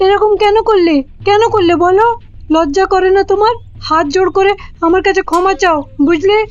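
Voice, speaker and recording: A woman's voice: very high-pitched, steady tone, brisk, no echo. A woman voicing a bossy, hot-tempered middle-aged mother-in-law in a comedy cartoon, with a Bengali accent and an exclamatory manner. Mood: furious, scolding. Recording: dull, lo-fi audio with music behind.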